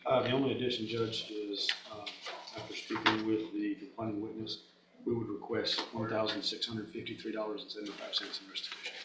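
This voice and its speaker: A man's voice: lowish voice, flat delivery, a little fast. A man making a formal statement as a prosecutor, on a distant, echoey, very noisy courtroom recording.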